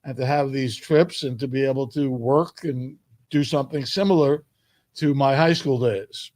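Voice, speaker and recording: An older man from New York reminiscing as an interview guest, speaking in a measured way with emphatic phrasing. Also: mid-range voice, a little mellow, dry recording. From New York, United States. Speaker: guest, sports public address announcer.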